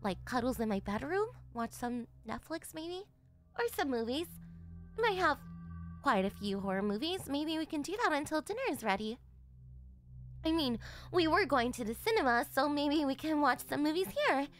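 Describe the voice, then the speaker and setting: A woman's voice: high-pitched, expressive, moderate pace, faint music behind. A woman voicing a shy, flustered tsundere young girlfriend in an anime-style ASMR roleplay, defensive and stammering, with a whiny edge. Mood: shy affectionate.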